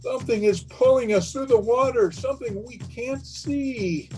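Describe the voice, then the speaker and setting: A man's voice: extremely high pitch, normal speed, a little mellow, soft background music. A man playing a guilt-ridden killer raving with dread in a melodramatic old-time radio horror play. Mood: fatalistic raving. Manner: melodramatic, feverish, repeating words.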